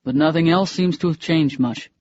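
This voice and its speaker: A cocky young daredevil who teases in a low, lazy drawl, as a man acts him out. His voice sits a touch high for a man. The sound is dull and dry, as on an older lo-fi recording. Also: normal speed, a little mellow, no echo, lightly acted. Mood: plain. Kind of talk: audiobook dialogue.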